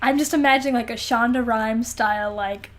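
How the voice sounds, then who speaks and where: A woman's voice: fairly high pitch, a little fast. A woman co-hosting a podcast in casual, comedic chat, with an exclamatory, dramatic, sweary way of talking. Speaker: podcast co-host.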